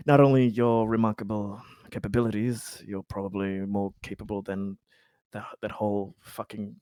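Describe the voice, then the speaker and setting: A man roleplaying a paranoid, injured space colonist who speaks in a halting, sardonic, profane way. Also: on the low side, flat delivery, darkish tone, clear, resonant tone, no room sound, studio quality, natural voice. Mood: guarded, halting. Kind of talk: in-character tabletop roleplay.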